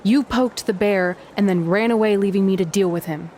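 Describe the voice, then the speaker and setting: A woman's voice: medium pitch, a little fast, mellow, a little hiss or hum, natural voice. A woman playing a blunt, sarcastic young female wrestler in a game cutscene, exasperated and no-nonsense. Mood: annoyed, dry.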